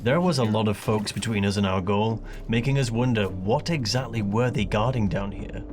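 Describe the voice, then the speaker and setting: A man's voice: on the low side, normal speed, a little mellow. A man who creates gaming videos, speaking as narrator and player with casual gamer slang. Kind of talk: scripted gameplay narration mixed with live in-game chatter. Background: music.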